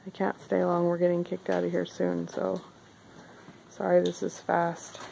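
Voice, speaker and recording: A woman's voice: lowish voice, monotone, unhurried, gravelly, warm tone, slight background noise, poor audio. Chatty woman filming a craft bazaar. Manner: chatty, upbeat, filler-heavy. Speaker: vlogger. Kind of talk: casual walk-through vlog. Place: indoor craft bazaar hall.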